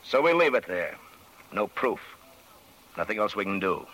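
An actor playing a measured, matter-of-fact police sergeant, suspicious and unmoved, in an old-time radio drama. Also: male voice, on the low side, big pitch swings, fairly bright tone, poor audio. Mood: dry, suspicious. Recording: vintage radio drama recording.

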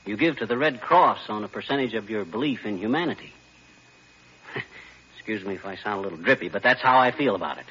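An American actor playing a blustering, folksy, self-important husband in 1940s radio comedy, boastful and defensive in slangy speech. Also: male voice, lowish voice, lively intonation, a little fast, pushed and bright, slightly rough, full character voice. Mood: earnest. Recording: dull, lo-fi 1940s radio recording.

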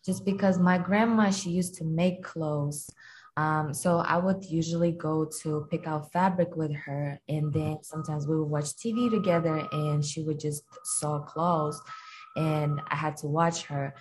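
A young woman from Kazakhstan talks easily as a guest on a casual podcast, her voice sitting a little low. She fills her sentences with likes and ums, and faint noise hums in the background. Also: measured pace, low-quality recording. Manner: relaxed and chatty, many fillers like "like" and "um".

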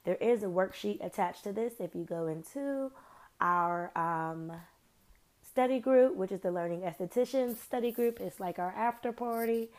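A woman's voice: medium pitch, moderate pace. Chatty upbeat woman podcast host. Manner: chatty, upbeat, slangy, rambling asides. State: recovering from sinus trouble, voice varies. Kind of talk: casual solo podcast chat.

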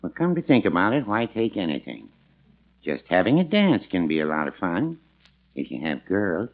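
Folksy old-radio shopkeeper, mild and eager to help, lively pitch, thin tinny sound. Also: male voice, moderate pace, full character voice. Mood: earnest suggesting. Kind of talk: 1940s radio comedy acting. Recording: thin, band-limited vintage radio recording.

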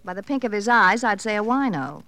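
An actress playing a flippant, sardonic nightclub dancer in an old radio crime drama, theatrical and arch. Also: female voice, medium pitch, expressive, a little fast, sharp tone, dry recording. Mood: coolly flippant. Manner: theatrical, mock-breezy, arch.

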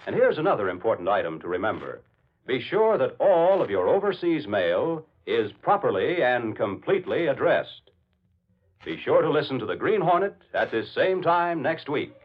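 Husky, animated male radio announcer. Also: mid-range voice, moderate pace. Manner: formal, emphatic. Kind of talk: scripted 1940s radio announcement. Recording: dull lo-fi old radio recording.

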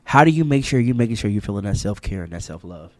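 A man hosting a casual podcast interview in a studio, relaxed and slangy, reading a sponsor plug now and then. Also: fairly low pitch, fast talker, dry recording. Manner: laid-back, loose slang, reads sponsor spots. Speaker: podcast host. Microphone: studio mic.

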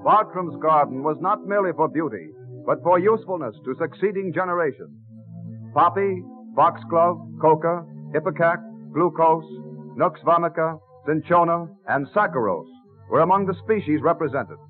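A man's voice: a little high, animated, moderate pace. A man announcing a scripted 1930s radio narration in a formal, declamatory manner. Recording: muffled old radio recording. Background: music.

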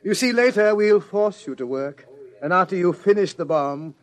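An actor playing a smug, cruel government agent from a war-torn future in a classic radio drama, urbane and gloating. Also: male voice, high voice, lively intonation. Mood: smug menace. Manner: urbane, gloating, unhurried menace. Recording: old classic radio recording.

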